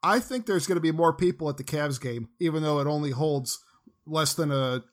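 A man's voice: fairly high pitch, brisk. A man in his thirties hosting a casual podcast, asking long rambling questions. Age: 30s. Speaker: podcast host.

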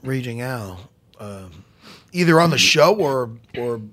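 A hoarse man hosting a casual podcast chat about fights, rambling, swearing and joking at his own expense. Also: mid-range voice, lively intonation, slow pace, a bit bright. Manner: loose, swearing, self-mocking, rambling. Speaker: podcast host.

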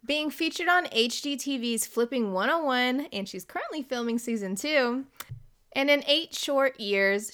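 A woman's voice: a little high, a bit bright. A woman hosting a podcast, upbeat and slangy, gushing about her guest. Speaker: podcast host. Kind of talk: casual podcast interview.

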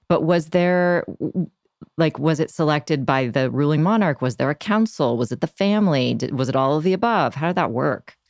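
A woman with a noticeably creaky voice hosts a conversational podcast interview. She puts her questions casually, in a dry sound with hardly any room around it. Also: a bass voice, a little mellow, dry recording. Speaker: podcast host interviewing a guest.

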